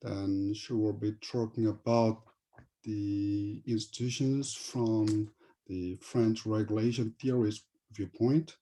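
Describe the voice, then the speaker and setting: A man closing his academic talk and then introducing the next speaker, halting now and then with fillers. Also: lowish voice, fairly even intonation, warm tone. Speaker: session chair and presenter. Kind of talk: academic presentation.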